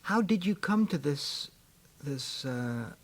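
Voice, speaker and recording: A man's voice: on the high side, expressive, slow pace. A man hosting a radio interview, asking long, rambling questions and murmuring agreement while his guest speaks.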